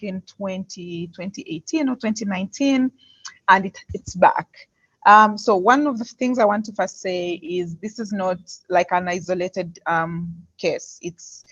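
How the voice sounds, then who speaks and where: A woman giving a candid answer as a guest speaker on an online call, speaking in a hesitant, thoughtful way. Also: on the low side. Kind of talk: candid spoken answer in an online question session. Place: indoors on an online video call. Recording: muffled, roomy online call audio.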